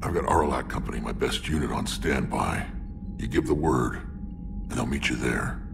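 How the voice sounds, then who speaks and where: An actor playing a blunt, battle-hardened male alien warlord with a gravelly voice and a dry, sardonic manner. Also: extremely low pitch, normal speed, noisy background. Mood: gruff. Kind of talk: video game voice acting.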